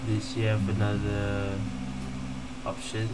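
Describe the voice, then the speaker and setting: A woman's voice: a bass voice, completely flat delivery, takes it slowly, distant mic, rough recording. A woman giving casual gameplay commentary as a streamer, in short offhand remarks. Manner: short offhand remarks, reads on-screen text aloud. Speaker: gaming streamer. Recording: loud game music behind the voice.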